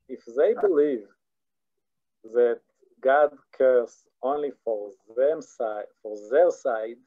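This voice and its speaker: Earnest Israeli man, halting English. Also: medium pitch, vocal fry. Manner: earnest, halting, repeats words while searching for English. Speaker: guest scholar. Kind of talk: philosophical interview answers. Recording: dull lo-fi audio.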